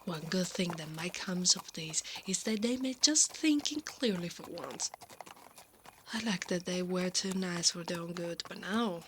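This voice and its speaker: A woman playing a brave, sardonic female knight in an ASMR roleplay, breathy and often whispering, muttering dryly to herself. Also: fairly low pitch, unhurried, background noise, lightly acted. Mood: sarcastic. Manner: muttering to herself, dry and sarcastic.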